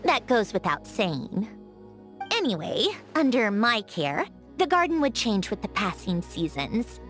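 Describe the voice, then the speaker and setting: An actress voicing a haughty, prickly young genius lady automaton in a video game, huffy and easily flustered, with a secretly soft heart. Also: medium pitch, big pitch swings, a little slow, soft background music. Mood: proud, composed. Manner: haughty, huffy, flustered when caught being kind. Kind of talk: scripted video game character voice acting.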